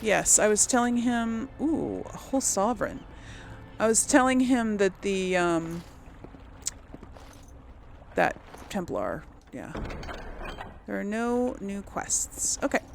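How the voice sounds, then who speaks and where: A woman's voice: medium pitch, sing-song, takes it slowly, gravelly, long pauses, slight background noise. A woman giving casual game commentary as a let's play host, musing aloud to herself.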